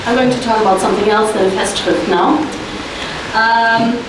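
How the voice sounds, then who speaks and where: A woman's voice: fairly high pitch, steady tone, moderate pace. A woman with a German accent giving an informal, enthusiastic conference talk as an academic researcher. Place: indoor conference room. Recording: very echoey hall, distant mic, hissy noise.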